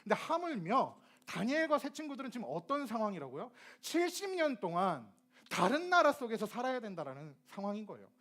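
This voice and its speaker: A man's voice: sits high, a little fast. A young man from Gyeonggi preaching a sermon to teenagers, asking them questions and acting out dialect phrases. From Gyeonggi, South Korea. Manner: lively, asks the audience questions and acts out dialect phrases. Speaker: youth ministry preacher.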